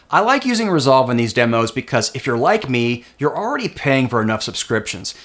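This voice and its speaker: A man narrating a scripted software tutorial as its host. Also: medium pitch.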